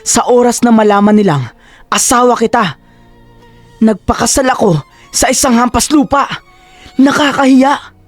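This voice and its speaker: A woman's voice: fairly high pitch, moderate pace, pauses often, no echo, soft background music, lightly acted. A woman voicing a cold, status-obsessed husband in an audio drama, stern and reproachful. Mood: angry, ashamed.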